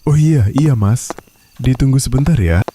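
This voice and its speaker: A man voicing a patient, poor middle-aged satay seller, meek and soft-spoken. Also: medium pitch, lively intonation, normal speed, a little hiss or hum, natural voice.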